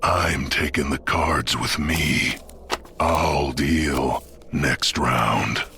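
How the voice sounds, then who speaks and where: A man's voice: a bass voice, extremely slow, sharp tone, a little hiss or hum, heavily acted. An actor playing a terse, menacing marksman henchman in an audio drama. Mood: curt.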